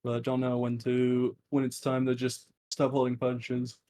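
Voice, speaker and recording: A man guest on a podcast with a monotone voice, explaining things in a halting, plain way. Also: medium pitch, a little hiss or hum. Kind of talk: casual interview answers.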